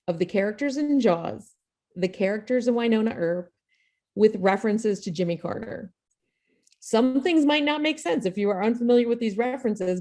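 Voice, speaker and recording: A woman's voice: on the high side, a little mellow. Female host for video-call show introduction; informal, jokey, self-effacing delivery. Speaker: playwright and host of an online table read.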